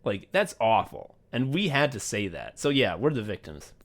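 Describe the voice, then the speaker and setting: An American man hosting a comedy podcast, talking casually in an animated, sweary way. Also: fairly low pitch, normal speed. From United States. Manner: animated, sweary, laughs readily. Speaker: podcast host.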